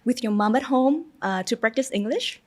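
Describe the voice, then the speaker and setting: A woman whose first language is Vietnamese, interviewing in English, encouraging and often pausing on "uh.". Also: a little high, animated, darkish tone. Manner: encouraging, hesitant with fillers. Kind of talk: interview questions.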